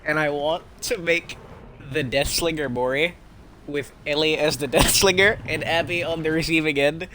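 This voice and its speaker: Young man, streamer, bright voice, faint hum behind, wry rambling commentary. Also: a little high, fairly even intonation, normal speed. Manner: rambling, wry, self-deprecating chatter. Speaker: game streamer. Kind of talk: casual commentary over gameplay.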